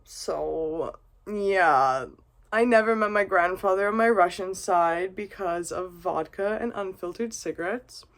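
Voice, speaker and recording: A woman's voice: medium pitch, unhurried. Rambling woman musing after a drink. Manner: rambling, earnest, swears and laughs often. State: has been drinking vodka. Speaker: vlogger. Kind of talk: casual reflective monologue.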